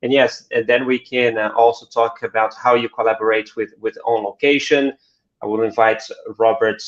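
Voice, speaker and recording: A man's voice: medium pitch, steady tone, moderate pace. Guy hosting a live video call, lots of uhs, kinda roomy and muffled audio. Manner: hesitant, frequent uh and repeats. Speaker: host.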